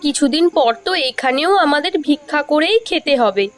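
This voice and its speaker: A woman's voice: high-pitched, lively intonation, moderate pace. A woman playing a worried, weary village woman in a scripted cartoon story, with music under her lines.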